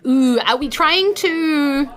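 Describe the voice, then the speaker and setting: A woman's voice: high-pitched, slow pace, fairly bright tone. A woman, narrating a tabletop game as game master in an informal, conversational way with casual asides.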